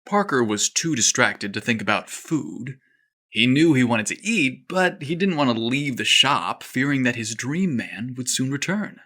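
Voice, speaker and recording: A male storyteller reads a scripted tale, lively and expressive. His voice is bright and clear, set at an ordinary pitch. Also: measured pace.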